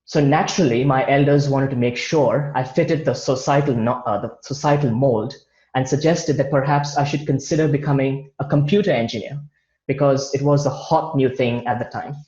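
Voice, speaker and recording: A man gives an online presentation over slides, speaking in a measured way from notes with occasional restarts. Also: mid-range voice, a little mellow. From India. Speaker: guest speaker. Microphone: video call. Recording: roomy, dull video-call audio.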